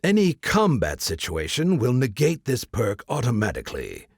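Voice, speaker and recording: A husky male game announcer, acted by a man with extremely animated intonation. He is wry and theatrical, announcing as in a role-playing game, in a close, dry recording. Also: medium pitch, normal speed, dry recording, exaggerated voice. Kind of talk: mock game-system announcement in an audiobook.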